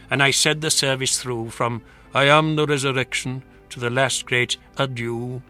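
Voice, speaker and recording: A man giving a solemn, measured dramatic recitation of narrative verse. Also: mid-range voice, sharp tone. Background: music.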